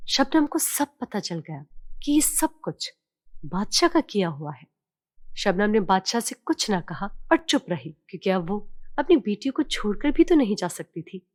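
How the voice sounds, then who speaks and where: A woman's voice: mid-range voice, very animated, moderate pace, a little mellow, no echo, studio quality. A woman narrating a scripted children's story as a storyteller.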